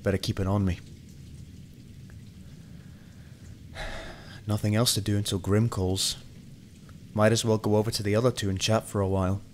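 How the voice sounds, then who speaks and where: A man's voice: lowish voice, flat delivery, fast, a little hiss or hum, close to an everyday voice. A man acting a hot-tempered, obsessive, weary police detective in an amateur radio drama, muttering and swearing. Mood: subdued. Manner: brooding, muttering to himself, swearing. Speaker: voice actor in amateur radio play.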